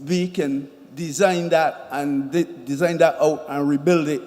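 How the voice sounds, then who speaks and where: A man speaking at a public consultation, slow and halting as he restarts his words. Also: on the high side, fairly even intonation, darkish tone. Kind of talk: public comment at a town hall. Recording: echoey hall.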